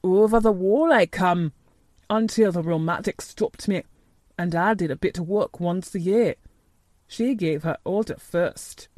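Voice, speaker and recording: A woman's voice: medium pitch, sing-song, a little mellow, no room sound. A woman voicing a grumpy but tender old male gardener with a Yorkshire accent and dry manner in an audiobook. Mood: grumpy tenderness. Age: older. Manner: dry, grumpy, slow-spoken, dialect speech. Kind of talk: audiobook character dialogue.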